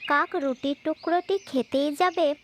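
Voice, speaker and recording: A woman with a distinctly high voice narrates a children's fable in a scripted storytelling style. There is faint noise in the recording. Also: fairly even intonation, unhurried.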